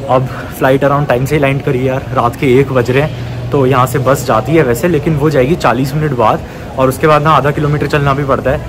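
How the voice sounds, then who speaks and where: A man's voice: medium pitch, noisy background. A man in his early twenties with an Indian accent chatting casually as a travel vlogger, mixing in English words. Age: early 20s. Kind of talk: casual vlog chat. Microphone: phone at arm's length.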